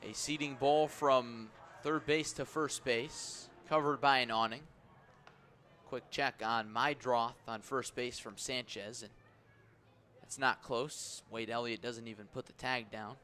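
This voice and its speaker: A man's voice: steady tone, pushed and bright. A man calling baseball play-by-play for radio in a live sports commentary style. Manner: measured between pitches, raised and urgent on plays. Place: stadium press area on second deck.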